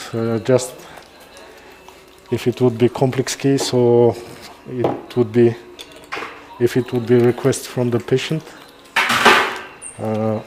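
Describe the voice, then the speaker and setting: A middle-aged man with a Kazakh accent gives informal live commentary as a surgeon, halting and pausing mid-sentence. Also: mid-range voice, background noise. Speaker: neurosurgeon presenting a live case.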